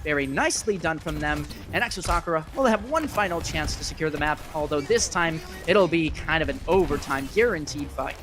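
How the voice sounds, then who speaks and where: A man's voice: fairly high pitch, expressive, brisk, hardly any pauses, over music. A man giving live play-by-play commentary on an esports match, with a playful, wry way of talking and plenty of quips.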